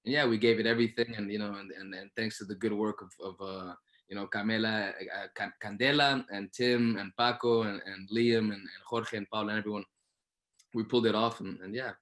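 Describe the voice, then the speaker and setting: Man, lower-pitched voice, earnest, emphatic, repetitive phrasing, reflective interview talk. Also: steady tone, fairly quick, a bit bright. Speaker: actor, interview guest. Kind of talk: reflective post-show Q&A talk.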